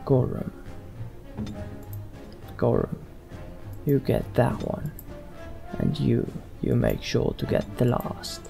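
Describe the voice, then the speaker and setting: A man doing in-character roleplay commentary for a let's play, speaking in a measured way as the leader of a fantasy party. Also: mid-range voice, huge pitch swings, vocal fry, warm tone. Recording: game music behind the voice. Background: fantasy video game music.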